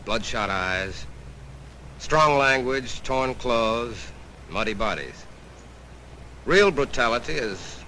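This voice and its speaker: Forceful, indignant 1940s American orator. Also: male voice, mid-range voice, low-quality recording. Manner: indignant, earnest, rhetorical. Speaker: army chaplain. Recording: old 1940s film soundtrack, faint noise.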